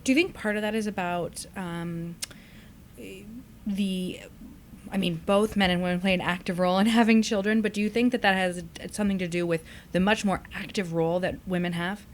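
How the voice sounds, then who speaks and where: A woman's voice: medium pitch, steady tone, normal speed, slight background noise. A woman hosting a conversational podcast, reflective and exploratory as she puts questions to others. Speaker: podcast host.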